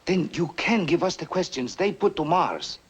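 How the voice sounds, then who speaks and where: A high-voiced, insinuating secret agent. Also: male voice, sing-song, normal speed, slightly rough. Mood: wary, pressing. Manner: formal, insinuating. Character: menacing yet smooth-talking male foreign agent, coldly official. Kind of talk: 1950s film acting. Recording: noisy old 1950s film soundtrack.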